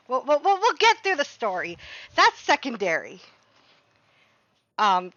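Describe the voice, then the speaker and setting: A woman hosts a casual game stream in a bright, forward voice that sits a little high. She talks in a chatty, playfully dramatic way. The sound is close and dry, though a bit lo-fi. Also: no echo. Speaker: livestream host.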